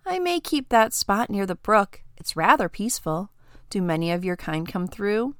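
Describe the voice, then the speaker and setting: An ancient she-dragon from a storybook, voiced by a woman, weary and haughty, with wry, old-fashioned phrasing. The voice is smooth and very closely, dryly recorded. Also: mid-range voice, animated, normal speed, a bit bright, dry recording, clean studio sound, close to an everyday voice. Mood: blunt, wry.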